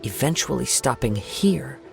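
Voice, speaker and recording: An actor playing a calm, thoughtful young wandering swordsman in a video game, with measured, formal phrasing. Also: medium pitch, gravelly, music in the background. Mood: grave, reasoning.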